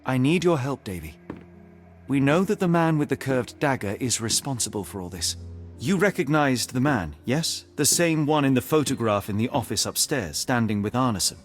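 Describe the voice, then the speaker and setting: A male actor voices a sharp-minded detective for a mystery game. His mid-range voice moves expressively through measured, analytical reasoning. Music plays behind him. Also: mid-range voice, animated, lightly acted. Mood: gentle, coaxing. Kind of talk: dramatic detective game dialogue and deduction narration.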